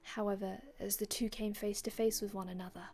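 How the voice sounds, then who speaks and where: A wistful vampire from another age , acted by a woman, recounts old tales in an ASMR roleplay. The delivery is hushed and breathy, with little swing in pitch and long dramatic pauses. The wording is formal and antique, and soft music plays underneath. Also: flat delivery, lightly acted. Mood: wistful, hushed storytelling. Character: melancholy otherworldly vampire from an older time, telling old tales.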